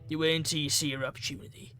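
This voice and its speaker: Male game narrator, fairly high voice, dramatic visual novel read-aloud, faint music behind. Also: level tone, racing, bright, forceful tone. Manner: acts out characters' lines and thoughts.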